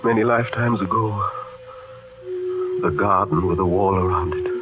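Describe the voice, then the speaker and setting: An actor playing a haunted, fearful refugee who broods haltingly in an old-time radio drama. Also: male voice, low-pitched, muffled recording, music in the background, lightly acted. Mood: brooding, haunted. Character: haunted, tormented refugee man who remembers past lives.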